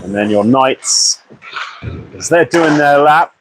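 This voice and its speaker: A British man with a croaky voice from a cold, chatting and then commentating live on an amateur ice hockey game from a small media box. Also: fairly high pitch, slow pace, noisy background. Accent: British English. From Britain. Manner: chatty and apologetic, excitable on goals.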